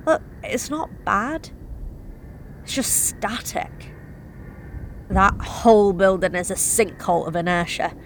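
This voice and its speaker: A woman's voice: mid-range voice, expressive, slow pace, long pauses, slight background noise. A young woman reading a first-person horror story in a wry, confessional, conversational way. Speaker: narrator.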